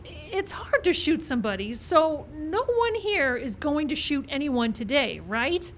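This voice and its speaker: A woman with a fairly high voice, acted for a live radio play, level-headed and insistent, with a sly ambitious streak. She reasons and presses for agreement over clear background noise. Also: sing-song, a little slow, full character voice. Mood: anxious reasoning. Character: level-headed woman screenwriter pleading with gunmen, slyly ambitious. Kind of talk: live old-time radio style acting.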